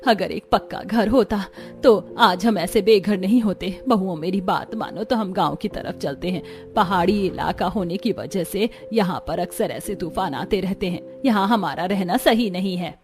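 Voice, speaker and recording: A woman's voice: mid-range voice, moderate pace, hardly any pauses, darkish tone, faint music behind, close to an everyday voice. A woman playing an earnest, practical village housewife in a scripted cartoon story. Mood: concerned. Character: village women, mother-in-law and daughters-in-law, earnest and practical.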